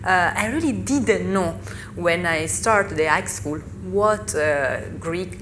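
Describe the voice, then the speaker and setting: A woman in her thirties from Italy, with an Italian accent and Italian as her first language, speaking haltingly as a panelist at a live discussion. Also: fairly low pitch, animated. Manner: halting, searching for English words. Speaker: panelist, author. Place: festival venue. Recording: live panel recording with noise.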